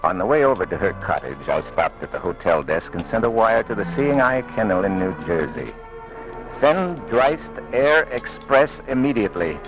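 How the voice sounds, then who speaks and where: An actor playing a calm, courteous, firm blind detective in an old radio drama, speaking in a measured, direct way. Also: male voice, lowish voice, rough recording. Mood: measured narrating. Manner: measured, matter-of-fact, direct. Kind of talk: 1940s radio drama acting and first-person narration. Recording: old radio recording with music.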